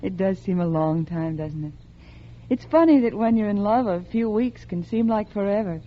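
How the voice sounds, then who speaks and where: A woman's voice: mid-range voice, moderate pace, natural voice. An actress playing a scheming, unhappily married woman in love, with earnest, dramatic mid-century radio acting. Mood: tender. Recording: dull old radio recording with music.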